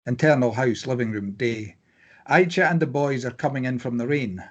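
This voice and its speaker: A man with a medium-pitched, slightly husky voice reads stage directions. The delivery is scripted narration, setting each scene of a screenplay. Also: moderate pace.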